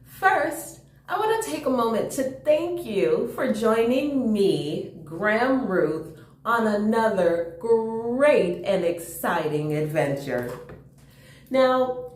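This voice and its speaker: A woman's voice: mid-range voice, a little mellow. A woman hosting a children's storytime, reading aloud and chatting with young listeners in an enthusiastic, question-filled way. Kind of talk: animated children's read-aloud with chatty asides. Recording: roomy, echoing sound.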